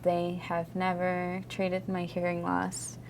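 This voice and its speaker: A woman in her twenties speaking calmly and plainly about herself. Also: on the low side, takes it slowly, vocal fry, slight background noise. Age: 20s. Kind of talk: personal testimonial to camera.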